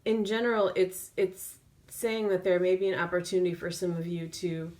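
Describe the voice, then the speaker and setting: A woman tarot reader speaking off the cuff in an intuitive reading, feeling her way through phrases with drawn-out pauses. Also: lowish voice, level tone, a little mellow.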